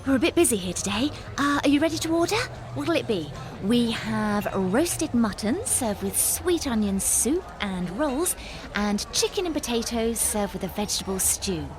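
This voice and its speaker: A woman voicing a busy, polite inn server in a tabletop game, reciting in a brisk, formal way. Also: on the high side, big pitch swings, music in the background. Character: busy, polite female inn server taking food orders. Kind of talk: tabletop roleplay.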